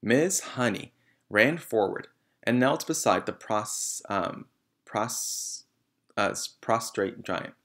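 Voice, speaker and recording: A man's voice: fairly low pitch, lively intonation, takes it slowly, a bit bright. A man reading a children's novel aloud as narrator. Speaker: reader.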